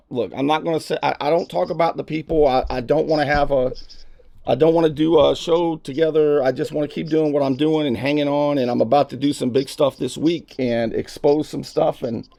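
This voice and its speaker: A man who hosts a talk show, speaking informally and earnestly in a halting way during a candid phone conversation. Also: a little high, steady tone, vocal fry, mellow.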